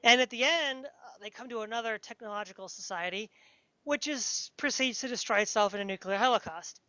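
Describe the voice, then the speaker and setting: Dull-sounding, high-voiced woman chatting casually. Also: brisk, sharp tone, no room sound. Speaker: podcast interviewer. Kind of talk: casual podcast interview chat. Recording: dull, lo-fi podcast audio.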